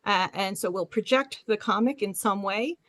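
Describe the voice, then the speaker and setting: A woman's voice: medium pitch, normal speed, a bit bright, no echo. Woman presenting informally, close dry sound. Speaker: panelist, librarian.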